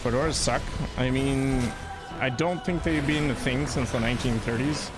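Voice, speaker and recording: A man's voice: medium pitch, moderate pace, music in the background, poor audio. A man streaming a video game, chatting casually and crudely with his viewers and poking fun at himself. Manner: joking, crude, self-mocking. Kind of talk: casual gameplay commentary to chat.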